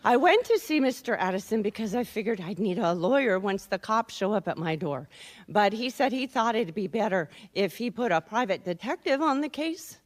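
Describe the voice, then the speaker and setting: An actress playing a cynical, brazen wife hiring a private detective in a radio mystery, with a wry, worldly manner. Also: female voice, medium pitch, very animated, moderate pace, close to an everyday voice. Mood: blasé, sardonic.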